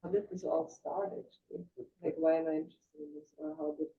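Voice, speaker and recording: Woman, chatty parent, gravelly voice, slightly high, tinny phone-like sound. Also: a little fast. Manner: chatty, animated, thinking aloud with fillers. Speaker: parent, works with nonprofits. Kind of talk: casual group introduction.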